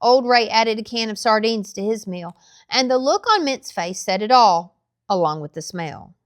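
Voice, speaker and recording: A woman narrating a children's novel aloud in a measured, expressive storytelling style. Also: mid-range voice, no room sound, studio quality.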